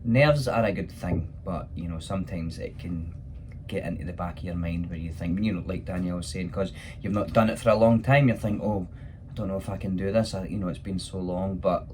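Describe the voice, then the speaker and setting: A man's voice: sits low, a little fast, a little mellow, a little hiss or hum. A man with a Scottish accent who performs in pantomime, answering questions in a casual chat.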